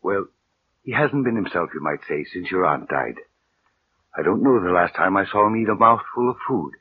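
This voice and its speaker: An actor playing a grave household servant in a radio suspense drama, husky, formal and respectful. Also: male voice, sits low, expressive, moderate pace, slight background noise. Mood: polite, concerned. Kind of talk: 1940s-50s American radio suspense acting. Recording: distant, tinny old radio recording.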